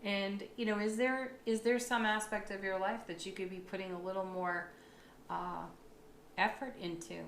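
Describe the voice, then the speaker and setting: A woman's voice: mid-range voice, fairly even intonation, normal speed. Woman tarot reader, slightly froggy and tired in the voice, upbeat and reassuring, recorded in a roomy space with faint background hum. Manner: upbeat and affirming, with thoughtful asides. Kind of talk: monthly astrology tarot reading. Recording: echoey room with faint ambience.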